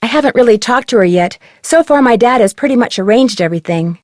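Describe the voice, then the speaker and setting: A woman's voice: on the high side, lively intonation, fast, darkish tone, no echo, studio quality, lightly acted. A woman playing a level-headed, determined teenage girl detective in a video game, speaking in a composed, friendly, matter-of-fact way.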